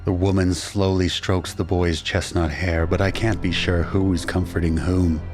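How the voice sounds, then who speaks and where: A man's voice: sits low, flat delivery, normal speed, music in the background, natural voice. A man playing an anguished husband and father, narrating an audio drama in a grave, dramatic way. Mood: grave, tense. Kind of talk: scripted first-person audio drama narration.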